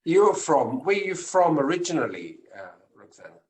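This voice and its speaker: A man's voice: fairly high pitch, big pitch swings, normal speed, darkish tone. A man interviewing an artist over a video call, speaking casually and restarting his questions.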